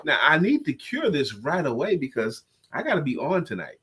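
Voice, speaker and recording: Congested husky man chatting. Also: fairly high pitch, normal speed. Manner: joking, self-deprecating, lively delivery. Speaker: co-host and coach. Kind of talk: casual live-stream chat.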